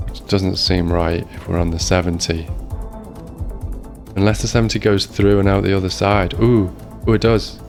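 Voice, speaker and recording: Low gravelly man thinking aloud. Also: fairly even intonation, moderate pace, darkish tone, faint music behind. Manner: muttering thoughts aloud while searching. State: voice strained, maybe a cold coming on. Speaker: streamer playing a geography game. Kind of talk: casual gameplay commentary.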